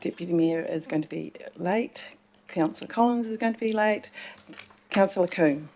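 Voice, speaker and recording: Woman briskly reading a roll call. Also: fairly low pitch, warm tone, a little hiss or hum. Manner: brisk, businesslike name reading. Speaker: meeting clerk.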